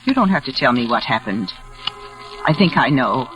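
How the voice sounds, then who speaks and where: Mysterious older woman keeping a cottage, grave yet expressive, 1940s radio play with music underneath. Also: rumbling low, moderate pace, muffled recording, full character voice. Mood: grave, consoling. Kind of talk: 1940s radio drama acting. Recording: vintage radio broadcast, music behind.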